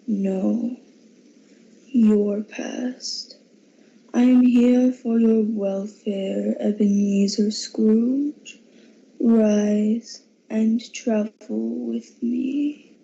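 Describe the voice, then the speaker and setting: A teenage actress playing a calm, solemn, otherworldly spirit in a radio drama, with a breathy, creaky voice. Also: female voice, fairly high pitch, extremely slow, exaggerated voice. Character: calm, solemn, otherworldly ghost of Christmas past. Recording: dull lo-fi amateur recording.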